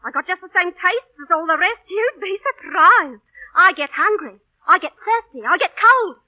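An actress in an old radio drama playing a brash, defiant young street thief with cheeky, ungrammatical working-class talk. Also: female voice, very high-pitched, lively intonation, normal speed. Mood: defiant, impassioned. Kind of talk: 1930s-40s radio drama acting. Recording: tinny, band-limited vintage radio broadcast.

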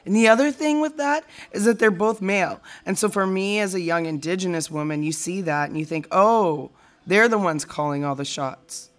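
A woman's voice: on the low side, moderate pace, close to an everyday voice. A woman acting in an audio drama as an opinionated, plainspoken friend in casual conversation. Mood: thoughtful, irritated. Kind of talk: naturalistic audio drama dialogue.

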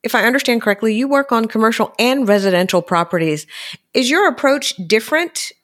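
A woman's voice: on the high side, expressive, brisk, a bit bright. A woman hosting a property podcast, reading formal introductions and asking interview questions. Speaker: podcast host.

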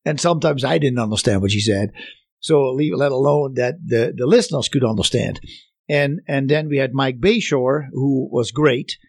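A man with a foreign accent hosting a radio interview, talking in a halting way with many restarts. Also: mid-range voice, gravelly, husky, dry recording, studio quality. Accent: foreign accent, not specific. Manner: hesitant, many restarts and fillers. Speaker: radio show host.

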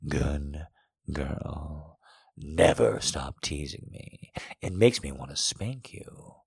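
Dominant, teasing male lover for adult audio fiction, played by a man: low, hushed, coaxing, in command. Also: animated, slow pace, vocal fry, breathy edge, no room sound, clean studio sound, exaggerated voice. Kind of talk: erotic audio story character.

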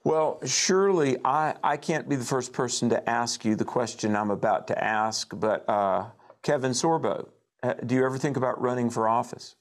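A man's voice: mid-range voice, moderate pace. A man hosting a call-in talk show, chatting with his guest and taking calls. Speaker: host.

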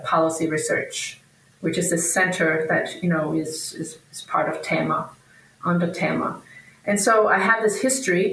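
A woman in her fifties from Denmark, a native Danish speaker, answers interview questions conversationally, thinking aloud in a halting, hesitant way. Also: on the low side, vocal fry, fairly bright tone. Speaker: podcast guest, researcher and academic. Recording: roomy echo, distant from microphone.

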